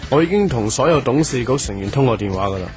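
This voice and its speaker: A man's voice: mid-range voice, expressive, fast talker, darkish tone, faint music behind, lightly acted. An actor playing a brash, cocky and playful young male company boss in a Cantonese radio drama. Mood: cool, cutting.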